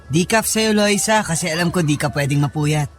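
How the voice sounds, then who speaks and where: A man plays an easygoing young boyfriend in a radio drama, with a notably high voice for a man. He sounds casual and affectionate, over faint music. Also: fairly quick, close to an everyday voice. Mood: breezy, affectionate. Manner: breezy, casual. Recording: music under the voice.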